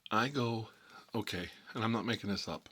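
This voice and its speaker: A man's voice: lowish voice, moderate pace, sharp tone. A man playing a chatty, boastful American mob boss who rambles and repeats himself in a casual ASMR role-play monologue. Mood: boastful, rambling. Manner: folksy, repetitive, rambling, emphatic. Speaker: ASMR storyteller playing a recurring character.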